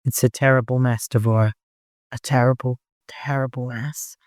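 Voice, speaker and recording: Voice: medium pitch, flat delivery, moderate pace, a little mellow, no echo, studio quality, close to an everyday voice. Narrator as a frightened, defiant young woman. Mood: tearful despair. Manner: earnest, emotional.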